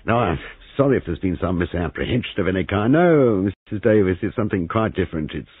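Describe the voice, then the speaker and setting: A man voicing a cheerful young police sergeant in an audiobook, slightly common and smoothly official. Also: low voice, lively intonation, no room sound, pitched above the performer's own voice. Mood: reassuring. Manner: fluent, unhurried, official. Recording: muffled phone-like audio.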